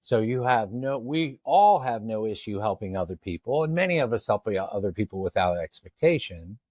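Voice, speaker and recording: A man's voice: fairly low pitch, lively intonation, moderate pace, darkish tone, no echo, dull audio. A man hosting an interview, speaking admiringly and often repeating words as he starts a thought. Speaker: podcast host. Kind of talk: casual interview chat.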